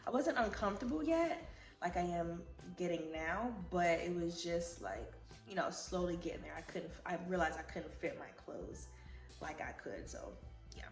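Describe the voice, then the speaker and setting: A woman's voice: on the low side, sing-song, normal speed. A young woman from Liberia, congested, chatting casually and rambling with many fillers in a vlog. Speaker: vlogger. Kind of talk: casual pregnancy recap vlog. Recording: roomy echo, faint music.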